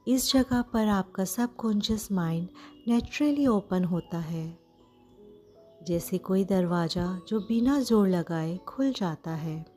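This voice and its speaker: A woman with an Indian accent leads a guided meditation in a mellow, relaxed voice. She speaks very slowly and gently, pausing often between short phrases. Music plays behind her slightly low-pitched, soothing delivery. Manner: soothing, gentle, with frequent pauses.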